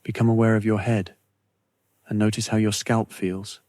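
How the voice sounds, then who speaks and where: A man narrating a scripted guided meditation in a slow, measured, soothing way with long pauses. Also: fairly low pitch, flat delivery, mellow, dry recording.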